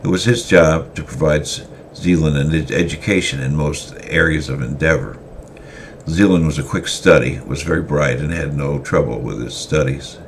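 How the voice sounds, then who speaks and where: Very deep, gravelly male storyteller. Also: a little hiss or hum. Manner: unhurried reading with frequent hesitations. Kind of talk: semi-dramatic story reading.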